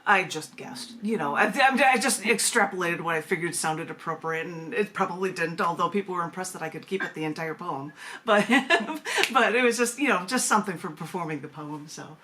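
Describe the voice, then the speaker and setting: A woman's voice: fairly low pitch, expressive, a little fast, sharp tone, a little hiss or hum. A woman talking as a radio guest over a video call, chatty and given to laughing and restarting sentences. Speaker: poet and magazine editor, guest.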